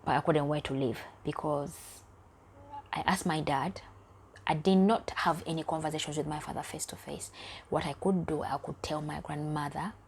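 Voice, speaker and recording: Young ugandan-raised woman vlogging her life story, low voice, goes almost whispery, faint background hum. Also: steady tone, normal speed. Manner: candid, emotional, often dropping to a near whisper. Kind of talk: personal storytelling vlog.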